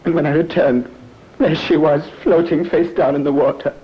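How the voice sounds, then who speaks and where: An actor playing a smooth-talking, scheming husband who sounds tenderly devoted, in old-time theatrical radio mystery style. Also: male voice, fairly high pitch, steady tone, moderate pace. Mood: distraught. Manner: theatrical, solicitous. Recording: dull, noisy old radio recording.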